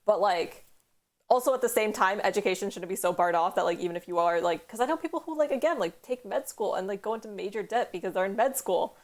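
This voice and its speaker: A young woman from Canada hosting a casual stream chat, rambling quickly with lots of "like" and casual swearing. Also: medium pitch. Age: young adult. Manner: fast, rambling, heavy use of "like", swears. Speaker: streamer host.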